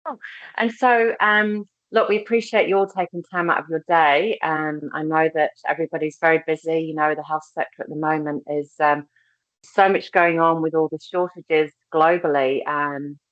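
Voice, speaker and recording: Woman host, slight English accent, low and chatty, ums a lot; dry, muffled laptop audio. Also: steady tone, normal speed, no room sound. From England. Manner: friendly, chatty, many ums and restarts. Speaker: recruitment team head, webinar host. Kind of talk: casual webinar presentation. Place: holiday house by the beach. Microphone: laptop on a video call. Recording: dry, slightly dull video-call audio.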